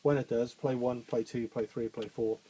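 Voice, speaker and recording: A man with a bassy voice, chatting informally through a radio studio training session with frequent fillers and restarts. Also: fairly even intonation, normal speed, warm tone, slightly rough, rough recording. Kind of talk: hands-on technical demonstration.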